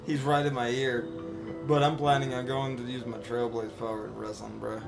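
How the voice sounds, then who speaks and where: Excitable, sweary male game streamer. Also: medium pitch, normal speed, vocal fry. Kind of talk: casual live reaction commentary. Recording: loud game music behind voice. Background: game music and dialogue.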